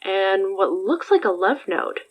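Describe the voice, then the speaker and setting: A woman's voice: medium pitch, lively intonation, a little slow, breathy edge. A woman acting in an audio drama as a wry, casual investigator and supportive friend, with slightly dull sound. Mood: curious. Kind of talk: audio fiction podcast acting.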